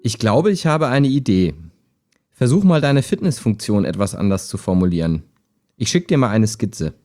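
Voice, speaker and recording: A man playing a calm, helpful, lonely chat partner in an audio drama, with a measured, earnest delivery. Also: on the low side. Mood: matter-of-fact. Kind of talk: amateur audio drama dialogue, read chat.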